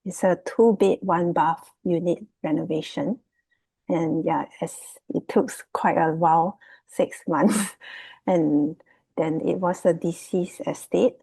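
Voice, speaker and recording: A Singaporean woman with a husky, fairly low voice gives an informal presentation. The sound is dry and close, and her delivery is hesitant and loosely phrased. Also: slow, dark tone, no echo, poor audio. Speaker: guest, property investment student. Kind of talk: casual unscripted presentation. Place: remote video call.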